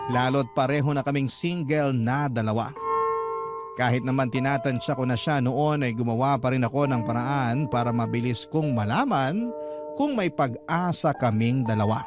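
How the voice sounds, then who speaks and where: A Filipino man playing a lovestruck storyteller, recounting his romance in a measured, confessional Tagalog radio drama narration. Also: mid-range voice, close to an everyday voice. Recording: music behind the voice.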